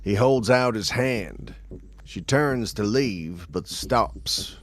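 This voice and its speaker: A man's voice: fairly low pitch, animated, slow pace. A man reading scripted scene narration for an audio drama.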